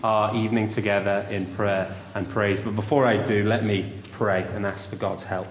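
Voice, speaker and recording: Young male preacher, loud carrying voice, lowish pitch, roomy church reverb. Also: flat delivery, normal speed, a little hiss or hum. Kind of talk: sermon with story. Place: church hall.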